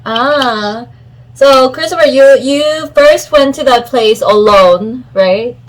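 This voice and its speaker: A young woman from South Korea leads the conversation, asking her friends questions in a measured, soft-spoken way. Her voice sits a little high. Her tone is relaxed and conversational. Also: low-quality recording. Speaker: podcast co-host. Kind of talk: casual chat.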